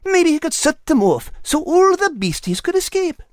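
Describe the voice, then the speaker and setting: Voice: very high-pitched, sing-song, moderate pace, no room sound, clean studio sound, pitched above the performer's own voice. A narrator voicing a timid, naive little male animal in a children's audiobook. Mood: hopeful.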